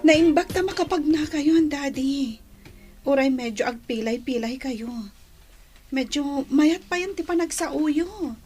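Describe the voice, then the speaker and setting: A woman's voice: high-pitched, a little slow, a little mellow, faint music behind. A woman playing a humbled, remorseful grown daughter in a radio drama.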